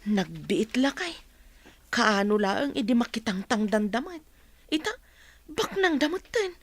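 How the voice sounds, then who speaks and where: A woman's voice: a little high, big pitch swings, a little slow, dry recording. A woman with an Ilocano accent acting as a nosy, envious village wife in a radio comedy drama.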